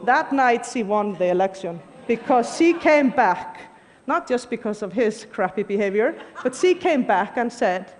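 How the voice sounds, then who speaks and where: A woman's voice: fairly high pitch, animated, a little slow. A woman, giving an earnest, emphatic prepared talk on stage. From Iceland. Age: 40s. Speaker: conference speaker. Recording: long hall reverb.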